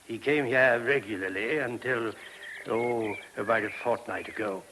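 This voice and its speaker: An actor playing a polite, thoughtful male monk in a radio play, explaining things in a measured, slightly hesitant way. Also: mid-range voice, lightly acted. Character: courteous, thoughtful male monk of an abbey, a priest. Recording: hissy old radio recording.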